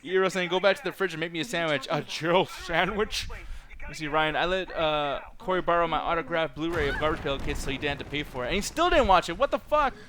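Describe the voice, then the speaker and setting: A man's voice: fairly high pitch, fast, sharp tone. A man casually chatting, cursing and laughing while streaming a horror game. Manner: profane, joking, laughs often. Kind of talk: casual gaming stream chat.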